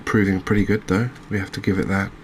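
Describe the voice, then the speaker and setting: A man with an English accent giving soft-spoken ASMR game commentary in a hushed, unhurried, hesitant manner. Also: low voice, flat delivery, background noise. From England. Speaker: gaming video host.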